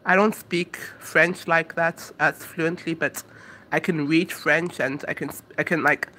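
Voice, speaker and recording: A woman's voice: low-pitched, fairly even intonation, a little slow, sharp tone, a little hiss or hum, poor audio. A young woman from Africa chatting casually to camera as a vlogger, rambling and hesitant with lots of fillers.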